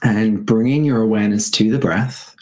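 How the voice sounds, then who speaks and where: A man guiding a meditation in a gentle, unhurried manner, leaving spacious pauses between short phrases. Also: fairly low pitch, fairly even intonation. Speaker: meditation guide. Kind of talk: guided meditation.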